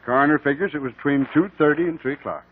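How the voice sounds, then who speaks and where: A man's voice: on the high side, quick pace, close to an everyday voice. Folksy American small-town lawman, male actor, dutiful and plainspoken, 1950s radio style, narrow noisy sound. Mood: cooperative. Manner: folksy rural speech, plainspoken. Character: folksy, dutiful male country sheriff, reluctant but firm. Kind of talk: 1950s radio drama acting. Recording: noisy, narrow-band old radio recording.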